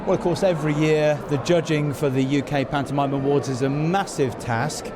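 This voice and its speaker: A man's voice: fairly high pitch, fairly even intonation, moderate pace. A man hosting a podcast, chatting casually as he interviews guests at a busy event venue. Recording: noisy busy venue ambience.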